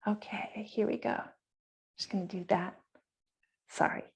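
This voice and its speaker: A woman's voice: mid-range voice, a little slow, creaky, a little mellow. A woman from Hawaii presenting her project to an online community meeting, speaking earnestly and directly to her listeners.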